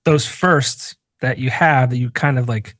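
A man's voice: mid-range voice, measured pace, no echo, studio quality. A man in his forties from northeastern Pennsylvania, hosting a podcast and telling self-mocking stories in casual chat. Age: 40s. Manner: animated, self-mocking storyteller who swears freely. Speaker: podcast host.

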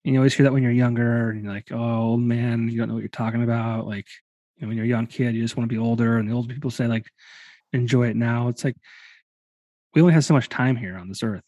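A man's voice: mid-range voice, steady tone, fast, warm tone, dry recording. A man in his late thirties hosting a podcast, musing casually with loose fillers. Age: 30s. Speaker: podcast host. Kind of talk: casual conversation.

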